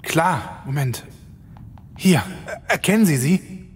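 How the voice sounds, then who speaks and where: A man's voice: on the high side, animated, takes it slowly, slight background noise. An actor playing an uneasy, wisecracking young man in a horror audio drama, talking hesitantly.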